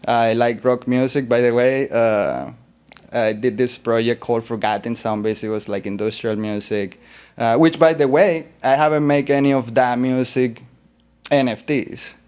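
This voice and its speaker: A man from Colombia, a guest artist in an interview, talking in a relaxed, rambling way with fillers. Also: medium pitch, moderate pace, smooth. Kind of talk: interview conversation.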